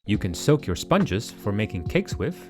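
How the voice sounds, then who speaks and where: Guy with a lowish voice calmly walking thru a kitchen recipe, soft guitar music under it. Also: moderate pace. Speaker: cooking channel host. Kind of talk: calm instructional cooking voice-over. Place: home kitchen.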